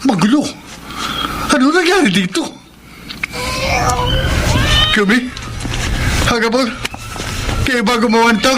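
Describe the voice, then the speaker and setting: A man's voice: very high voice, animated, a little slow, long pauses, heavy background noise. A man acting a meek, anxious young office worker in a Filipino radio drama, hesitant and given to talking to himself. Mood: alarmed. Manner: hesitant, talks to self anxiously. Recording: loud music bed under dialogue.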